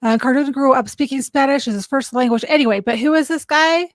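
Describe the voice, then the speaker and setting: A woman hosting a livestream, reading aloud and thinking out loud as she looks things up, often correcting herself. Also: sits high, fast talker, no echo. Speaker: livestream host. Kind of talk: casual livestream commentary.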